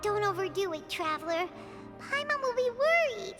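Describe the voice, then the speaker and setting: A woman voicing a small, wise young girl deity in a video game, gentle and eager. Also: very high-pitched, sing-song, normal speed, loud music behind the voice. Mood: gentle, reassuring. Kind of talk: animated video game acting.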